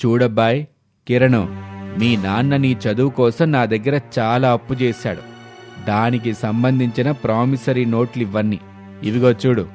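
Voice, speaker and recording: A man's voice: medium pitch, fairly even intonation, moderate pace, darkish tone, soft background music, natural voice. A man voicing a stern, grasping village moneylender in a dramatised moral story.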